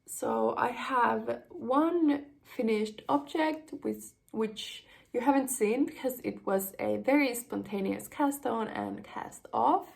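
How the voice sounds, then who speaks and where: A woman's voice: on the high side, slow, creaky, hardly any pauses. A young woman from Finland hosting a knitting podcast, chatting casually with fillers and small laughs while her voice recovers from an illness. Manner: relaxed, rambling, many fillers and small laughs. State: voice still recovering from a cold. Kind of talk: casual chat to camera.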